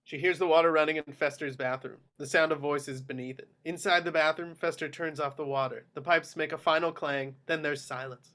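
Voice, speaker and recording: A man's voice: on the high side, steady tone, fairly quick, hardly any pauses, bright, forceful tone. A man reading screenplay action descriptions aloud as narrator in a table read.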